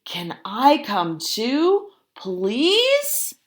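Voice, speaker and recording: Lady doing a tiny shy mouse kid from a picture book, small high voice, hoping to come along. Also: huge pitch swings, very slow, exaggerated voice. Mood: hopeful pleading.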